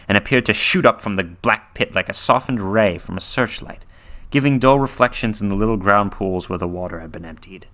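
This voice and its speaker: Low-voiced man reading dramatically. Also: a bit bright. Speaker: reader. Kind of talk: dramatic scripted horror story narration.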